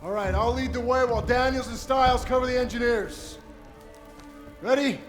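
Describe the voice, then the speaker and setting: An actor playing a hardened, embittered American World War II sergeant in a video game, gruff and commanding. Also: male voice, very high-pitched, steady tone, moderate pace, soft background music. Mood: urgent, commanding. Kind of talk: dramatic video game cutscene acting.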